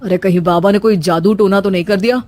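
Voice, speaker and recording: A woman's voice: mid-range voice, fairly even intonation, fast talker, a little mellow, no room sound, voice pushed down, lightly acted. Woman acting fed-up husband, cartoon story, caught in the middle, dry recording. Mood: bewildered. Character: exasperated adult husband caught between wife and mother.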